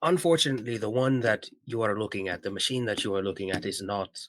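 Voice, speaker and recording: A man playing a courtly, earnest pilot whose mind lives in a box, speaking formally and haltingly in an improvised podcast roleplay. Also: lowish voice, a bit bright, natural voice. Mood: bemused, courteous.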